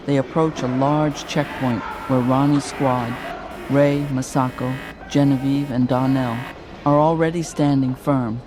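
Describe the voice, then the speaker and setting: A man's voice: mid-range voice, fairly even intonation, unhurried, noisy background, natural voice. A man narrating a scripted sci-fi horror audio drama in a serious manner. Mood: tense narration. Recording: loud music bed behind.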